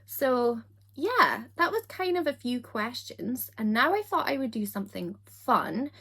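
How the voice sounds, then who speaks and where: A young Scottish woman with a softened accent, chatting casually to camera in a self-deprecating, giggly way. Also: a little high, big pitch swings, a little slow, creaky, husky. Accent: Scottish, softened toward standard British. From Scotland. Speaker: YouTuber.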